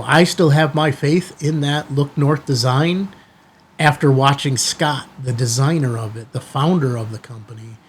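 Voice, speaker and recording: A man's voice: mid-range voice, a little hiss or hum. A man hosting a casual product review vlog, talking conversationally and emphatically in short bursts. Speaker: YouTube channel host.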